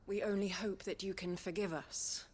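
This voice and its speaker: A woman's voice: mid-range voice, fairly even intonation, moderate pace, background noise, lightly acted. An actress playing an earnest, remorseful townswoman in a fantasy game, solemn and formal. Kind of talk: dramatic video game dialogue.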